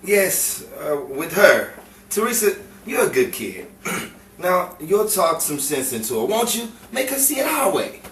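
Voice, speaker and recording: A man playing a scheming, pushy small-time crook in a 1950s crime melodrama, talking in halting, insistent persuasion. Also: high-pitched, sing-song, fairly bright tone, heavily acted. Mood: coaxing. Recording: echoey, distant, noisy room recording.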